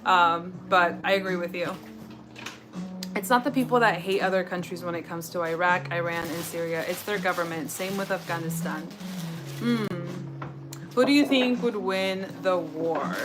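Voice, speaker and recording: A woman's voice: on the low side, normal speed. An American woman hosting a casual livestream, chatting with viewers as she reads out their comments and replies. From United States. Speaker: livestream host. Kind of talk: casual chat with live viewers. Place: home kitchen while cooking. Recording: music playing behind the voice. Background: music.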